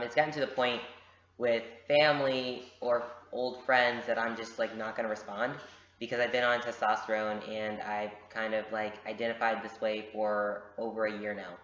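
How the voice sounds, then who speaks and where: Young man, casual vlogger, direct and unfiltered, mid-pitched, slightly muffled home recording. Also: a bit bright, echoey room. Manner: frank, direct, many fillers. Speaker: vlogger answering viewer questions. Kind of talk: casual Q&A vlog. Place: home room with a pet bird. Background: bird noises.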